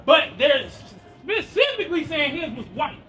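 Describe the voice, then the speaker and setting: Loud high-voiced street preacher man. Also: very animated, normal speed, soft background music. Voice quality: loud and projecting, near yelling. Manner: asks the crowd questions and answers them. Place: outdoors on a city street. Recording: far from the mic with street noise.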